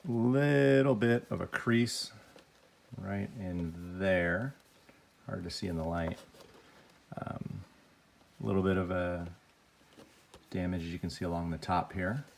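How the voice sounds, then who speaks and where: Gravelly low-voiced man with a cold. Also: slow, long pauses, a little hiss or hum. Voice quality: deeper than usual, gravelly. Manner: plain, chatty, grading each item out loud. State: has a cold. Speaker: toy unboxing vlogger. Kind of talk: casual unboxing commentary.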